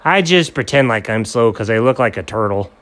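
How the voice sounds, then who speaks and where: A man voicing a modest, super-fast male turtle hero in a bedtime story, speaking in a bashful, matter-of-fact way. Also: mid-range voice, lively intonation, a bit bright, close to an everyday voice.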